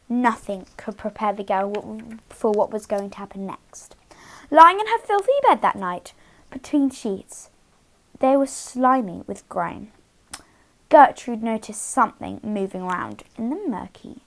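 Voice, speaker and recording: High-voiced woman reading a children's story. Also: big pitch swings, a little slow, rough recording. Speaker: children's storytime host and reader. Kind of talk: expressive read-aloud for young children.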